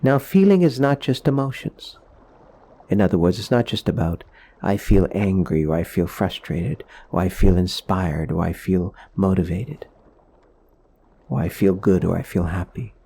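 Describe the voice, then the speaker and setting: A man leading a guided meditation in a soothing, gentle, explanatory way, leaving pauses between phrases. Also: low-pitched, vocal fry, breathy edge.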